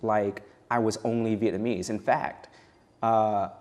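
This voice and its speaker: A man in his thirties with a fairly low voice gives reflective answers in a studio interview. He talks in a measured, thoughtful, personal way, taking his time to find his words. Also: fairly even intonation, a little mellow. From United States. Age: 30s. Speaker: guest poet and educational consultant. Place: television studio.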